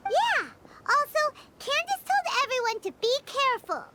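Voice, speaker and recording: A woman voicing a small, excitable, cheeky fairy companion with a squeaky childlike voice in an anime-style video game. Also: normal speed, background noise. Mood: excited. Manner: exclamatory, refers to self in third person.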